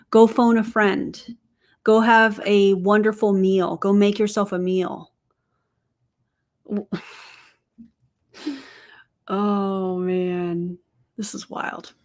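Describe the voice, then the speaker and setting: A woman in her forties runs a reaction commentary. Her tone is sarcastic and incredulous, and she keeps asking her viewers questions directly. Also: mid-range voice. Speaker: commentary host.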